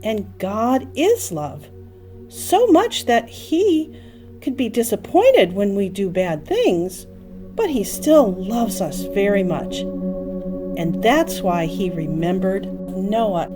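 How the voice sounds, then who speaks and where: A woman telling a Bible story to young children, explaining in simple phrases with dramatic pauses and emphasis. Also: mid-range voice, very animated, over music.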